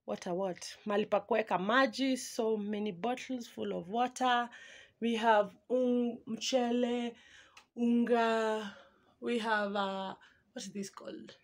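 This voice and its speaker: A woman in her twenties with a Kenyan accent chatting casually and animatedly for a home vlog, mixing in Swahili and searching for words. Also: on the high side. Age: 20s. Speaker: vlogger. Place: small room at home.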